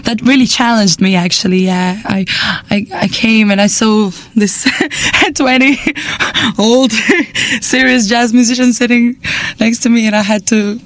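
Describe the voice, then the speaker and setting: Estonian woman chatting haltingly. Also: a little high, fairly even intonation, no echo. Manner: halting, searching for words, laughs often. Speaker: jazz singer guest. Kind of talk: casual radio interview.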